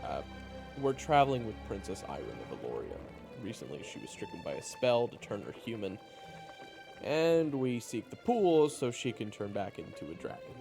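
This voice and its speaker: An earnest, excitable adventurer, played by a man in a fantasy audio drama. The delivery leaps about in pitch and gets flustered easily, with music clearly playing underneath. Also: normal speed. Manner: excitable, stammering when flustered. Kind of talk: fantasy audio roleplay acting and narration. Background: music behind the voice.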